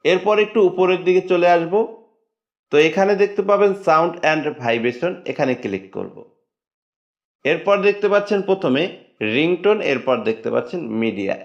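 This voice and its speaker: A man narrating a step-by-step phone settings tutorial in a plain, steady instructional tone. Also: on the high side. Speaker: tech tutorial host. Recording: dull lo-fi voice-over.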